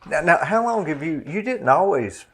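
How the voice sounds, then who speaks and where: A man hosting a casual interview, putting reflective questions in a halting, conversational way. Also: fairly high pitch, very animated, a loud crowd. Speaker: interview host.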